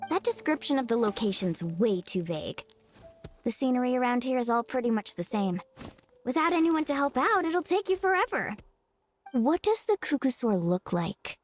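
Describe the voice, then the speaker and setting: Upbeat sporty young game girl, high voice, swoops around a lot, friendly. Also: big pitch swings, moderate pace, faint music behind. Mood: helpful, brisk. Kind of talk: video game voice acting.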